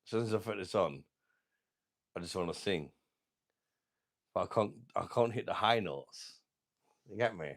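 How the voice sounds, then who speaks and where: A middle-aged man with a deep voice and a sore throat, chatting casually as he hosts a beer channel livestream and reads out viewer comments in a rambling, laid-back way. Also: expressive, normal speed, long pauses. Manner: rambling, laid-back, chuckling between remarks. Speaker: beer review channel host.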